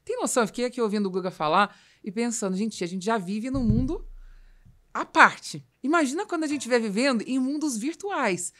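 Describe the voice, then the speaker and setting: A woman's voice: fairly high pitch, lively intonation, fairly quick, a bit bright. A Brazilian woman hosting a conversational business podcast, explaining her points emphatically. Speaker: podcast host.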